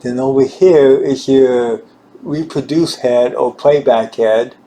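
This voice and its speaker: A man giving an informal hands-on equipment demonstration, halting and repeating himself as he searches for words. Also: medium pitch, mellow, slight background noise. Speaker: gear demonstrator.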